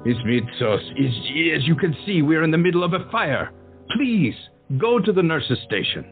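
A man voicing a stern senior doctor speaking formally and briskly, on a phone-like recording with music behind. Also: on the high side, very animated.

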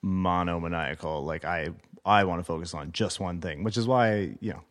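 A man with a fairly low voice talks as a guest in a loose podcast chat. He is informal and self-deprecating, and casually drops swear words. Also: normal speed. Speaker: guest, butcher.

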